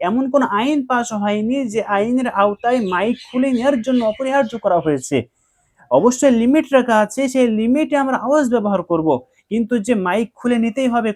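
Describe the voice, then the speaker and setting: A man's voice: extremely high pitch, a little mellow. A man giving explanatory commentary to viewers, emphatic and repeating his points.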